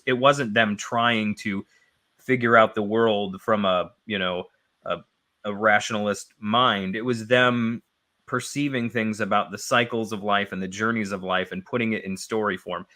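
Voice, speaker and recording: Scratchy-voiced man musing slowly. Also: on the low side, sharp tone. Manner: thoughtful, repeats key phrases while building ideas. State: slightly scratchy and sniffly. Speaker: co-host. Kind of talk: reflective spiritual discussion.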